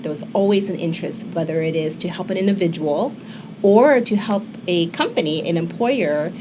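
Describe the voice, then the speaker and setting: A woman in her fifties, born in Hong Kong, chatting as an interview guest with many fillers and restarts. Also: low voice. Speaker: immigration attorney, guest. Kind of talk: casual interview talk. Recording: local TV studio with noisy background.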